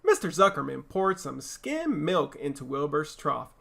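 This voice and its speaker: A man's voice: fairly high pitch, sing-song, measured pace, gravelly, bright, forceful tone. A man reading a children's story aloud as a teacher, with casual asides.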